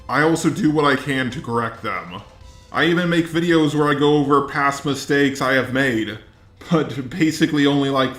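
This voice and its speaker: A man's voice: mid-range voice, a bit bright. Blunt ranting man in a roomy space. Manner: blunt, profane, indignant. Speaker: commentary YouTuber, host. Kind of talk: opinionated drama commentary, ranting.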